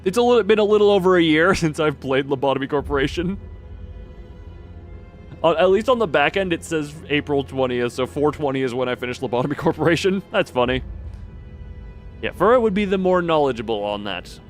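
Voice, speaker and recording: A man's voice: on the high side, expressive, fairly quick, soft background music. A man hosting a game livestream, chatting casually, reading dialogue aloud and often breaking off to muse. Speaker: livestream host playing a game.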